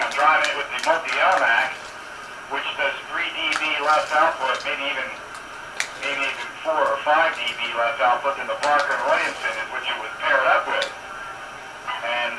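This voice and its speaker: A man chatting casually as an amateur radio operator, folksy and plain-spoken, heard over an AM radio transmission. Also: medium pitch. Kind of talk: casual radio hobbyist chat. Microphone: heard over a radio link. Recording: noisy, echoey, distant AM radio transmission.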